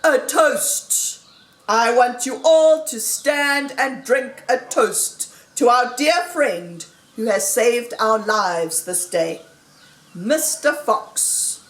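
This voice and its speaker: A hearty badger from a storybook, played by a woman, declaims with loyal, emphatic feeling. The delivery is slow and animated, with some room echo. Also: on the high side, far from the mic, pitched above the performer's own voice, exaggerated voice. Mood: proud toasting. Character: hearty, plain-spoken male badger, loyal friend.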